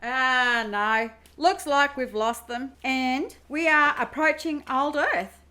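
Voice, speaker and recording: Bright, high female starship navigator, acted by a woman. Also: takes it slowly, full character voice. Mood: alert. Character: keen female starship navigator reporting to the crew. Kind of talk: amateur radio play acting.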